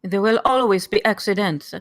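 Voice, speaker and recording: Proud female aristocrat, voiced by an actress, medium pitch, formal and defiant, dry recording, drama table read. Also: moderate pace, dry recording, natural voice. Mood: restrained. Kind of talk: live table read of a screenplay.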